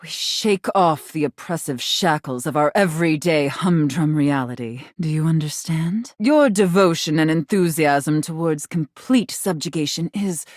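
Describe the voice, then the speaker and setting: Bossy sultry mistress game character, low voice, swoops around a lot, talks down to you. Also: female voice, no echo, studio quality. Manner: haughty, sultry, condescending, drawn-out deliberate phrasing. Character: imperious, teasing dominatrix, a living safe in human guise. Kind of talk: video game character acting.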